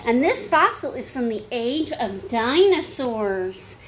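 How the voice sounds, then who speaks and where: Mom doing a happy proud old train engine from a kids book, high and lively, bit noisy. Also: female voice, slow. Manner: boastful, friendly. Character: cheerful proud old male steam engine.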